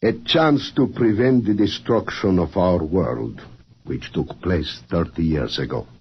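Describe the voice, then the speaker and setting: A grave formal world president, old radio play, lowish voice that swings a lot, muffled hissy sound. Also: male voice, animated. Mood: grave, blunt. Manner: formal, deliberate, solemn. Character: grave male world council president, former scientist, blunt. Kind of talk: 1950s radio drama acting.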